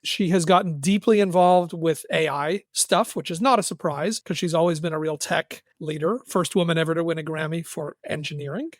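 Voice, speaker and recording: A man's voice: a little high, fairly quick, hardly any pauses, no room sound, clean studio sound. A man co-hosting a music podcast, talking in a casual, wry and enthusiastic conversational way. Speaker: podcast co-host and music reviewer.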